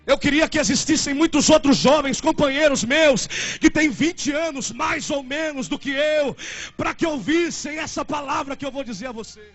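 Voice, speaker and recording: Fervent young Brazilian preacher, high voice. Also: male voice, moderate pace, a bit bright, lo-fi sound, faint music behind. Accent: Brazilian Portuguese. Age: 20s. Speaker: pastor. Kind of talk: sermon.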